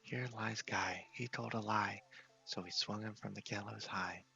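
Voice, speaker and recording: A man giving soft, half-whispered ASMR commentary on a game playthrough, murmuring to himself and reading text aloud in an unhurried way. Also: lowish voice, fairly even intonation, creaky, dull audio, soft background music. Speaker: game streamer, video host.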